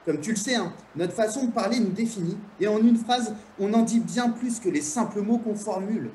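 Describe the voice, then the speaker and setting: A man with a high voice speaking from an echoey room, with faint noise underneath. He explains his plans cheerfully and casually, like someone chatting with a friend on a call. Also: fairly quick, darkish tone. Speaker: neuroscience contributor.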